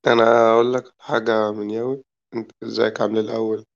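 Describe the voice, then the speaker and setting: A man's voice: on the low side, level tone, very fast, creaky, muffled recording. A man with an Egyptian accent and a cold that has worn down his voice. He explains his point at length in a casual group discussion and keeps checking that his listeners follow him. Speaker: product designer in a group chat.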